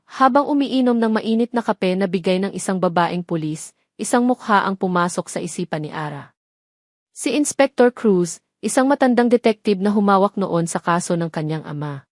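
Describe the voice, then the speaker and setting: Female narrator, scripted audio drama, measured delivery, dramatic pauses, medium pitch, close dry recording. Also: no room sound. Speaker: story narrator.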